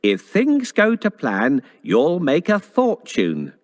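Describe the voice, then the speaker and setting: A woman voicing a clever, eager male talking cat in a children's storybook, in an expressive, theatrical way. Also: sits low, slow, dry recording, heavily acted. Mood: confident, scheming. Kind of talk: children's storybook character acting.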